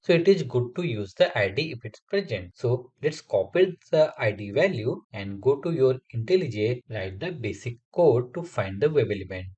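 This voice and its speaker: A man's voice: medium pitch, darkish tone, breathy edge, highs cut off. A man, a Hindi speaker, explains a coding tutorial step by step over a screen recording. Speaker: software testing instructor.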